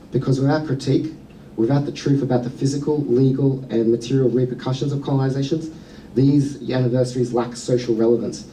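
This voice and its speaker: Australian man, public speaker, dark mellow tone, earnest and measured, distant mic. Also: medium pitch, a little hiss or hum. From New South Wales, Australia. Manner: earnest, measured, with frequent "um" fillers. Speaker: speaker at a museum sector event. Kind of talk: prepared public talk. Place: event venue.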